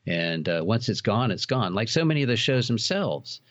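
A low, deep male voice of middle age, talking casually as a guest in an interview. He explains at length in a chatty storytelling way, and the sound is dull and muffled. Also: very animated, moderate pace, fairly bright tone, dry recording. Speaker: podcast guest, horror attraction and trading card company founder.

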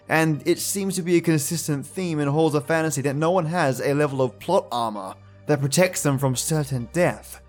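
A man's voice: a little high, a little mellow. A man hosting a podcast, giving friendly, chatty commentary to his listeners. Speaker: podcast host.

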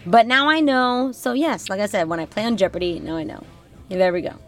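A woman's voice: medium pitch, animated, a little fast. A young woman from South Florida with a New York accent, a bubbly guest chatting and laughing easily in an interview. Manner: bubbly, laughs often, playful. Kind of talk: casual interview chat.

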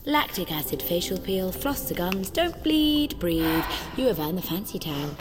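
A woman voicing a calm, controlling female AI assistant that gives precise, soothing commands in an audio drama. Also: fairly low pitch, moderate pace, background noise. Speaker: narrator and AI voice.

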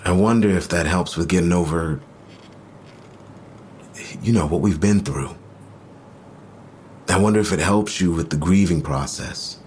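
An actor playing an earnest, vulnerable boyfriend in an audio drama, speaking in a halting, searching way. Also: male voice, low-pitched, a little mellow, a little hiss or hum. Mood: earnest, confiding. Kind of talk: naturalistic audio drama dialogue.

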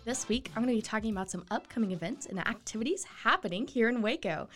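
A woman's voice: a little high, expressive, fast, a bit bright, faint music behind. A woman hosting a casual local interview show in a chatty, conversational way. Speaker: host.

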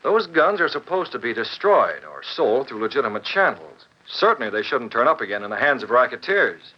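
An actor playing a composed, commanding newspaper publisher in a 1940s radio drama, speaking crisply and with authority. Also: male voice, medium pitch, sing-song, fairly quick. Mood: firm, serious. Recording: muffled 1940s radio broadcast.

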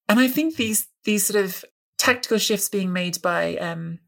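A woman's voice: mid-range voice, a little slow, vocal fry. A woman co-hosting a football chat, analytical and thoughtful, sometimes hunting for the right word. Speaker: football podcast co-host.

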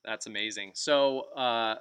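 A man's voice: lowish voice, a little slow, fairly bright tone, clean tone, no echo. A man hosting a podcast interview, enthusiastic and leaning on "uh" fillers. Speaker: podcast host.

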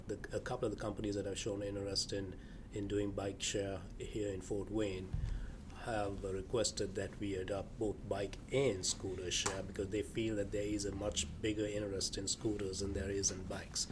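A man, a city official, answering interview questions in a calm, precise, explanatory way. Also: on the low side, background noise. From Sri Lanka. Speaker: public works director, guest.

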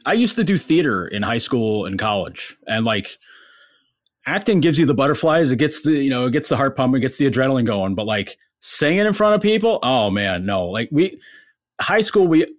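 Lively man with a bass voice. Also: normal speed, dry recording, clean studio sound. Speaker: podcast guest, sports writer. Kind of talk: casual podcast chat.

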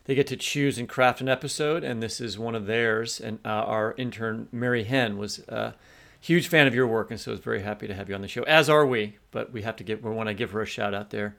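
A man's voice: fairly low pitch, a little fast, gravelly, fairly bright tone. A man co-hosting a podcast in a conversational way, introducing and questioning guests. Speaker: podcast co-host.

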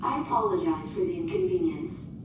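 Polite spaceship computer, female, high lilting pitch, formal procedural phrasing, unemotional temper, distant sound, sci-fi audio drama. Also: animated, brisk. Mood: polite, detached. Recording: distant, echoing, noisy with rumble.

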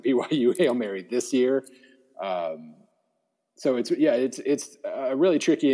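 A man co-hosting a sports podcast in a casual, halting, self-deprecating way, his voice slightly hoarse. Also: mid-range voice, dark tone. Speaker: sports podcast host and writer. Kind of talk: casual football podcast chat.

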